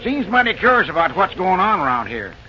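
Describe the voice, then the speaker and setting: Old noisy radio play, folksy chatty railroad drifter, real bright high voice. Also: male voice, sing-song, brisk, exaggerated voice. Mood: earnest. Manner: folksy backwoods speech, drawling rustic grammar. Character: easygoing drifting railroad man, folksy and talkative. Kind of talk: old-time radio drama acting. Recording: noisy, dull early radio recording.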